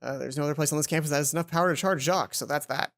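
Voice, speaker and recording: Woman as a low, timid young male inventor. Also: very fast. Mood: resigned. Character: John, timid, heartbroken male student inventor. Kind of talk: visual novel character voice.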